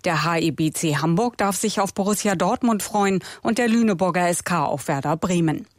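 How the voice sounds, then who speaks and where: A woman reading radio sports news briskly and clearly. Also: lowish voice, no room sound, clean studio sound. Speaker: sports reporter.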